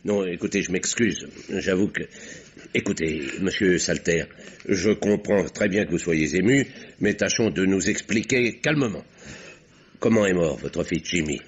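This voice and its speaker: An actor playing a courteous, insistent doctor turned sleuth, questioning in measured, articulate old radio mystery style. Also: male voice, deep voice, husky. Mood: polite, concerned. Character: courteous, probing doctor turned amateur detective. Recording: muffled old radio drama recording.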